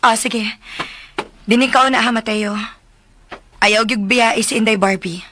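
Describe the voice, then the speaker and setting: A woman's voice: a little high, takes it slowly. A woman acting in a radio drama as a weary, regretful young mother, with an emotive, sighing delivery. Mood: gentle, tired.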